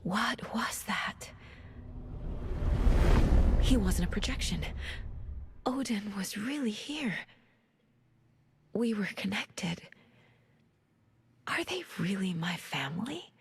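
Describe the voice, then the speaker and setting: An actress playing an earnest, troubled investigator in a video game, questioning in a hushed, intense manner. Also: female voice, medium pitch, expressive, slow, pauses often. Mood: bewildered. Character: earnest, troubled woman investigator probing her own mind. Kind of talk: cinematic video game acting. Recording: noisy game ambience behind.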